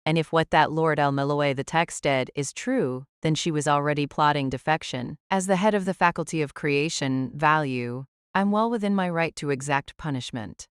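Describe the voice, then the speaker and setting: Shrewd elderly aristocratic mage, played by a female narrator: smooth, lowish voice, casual and teasing, quietly threatening, dry close sound. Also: normal speed, few pauses, no room sound, lightly acted. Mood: cool menace. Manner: breezy, teasing, nonchalant. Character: shrewd, casually menacing old noblewoman mage, a realist. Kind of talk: audiobook character acting.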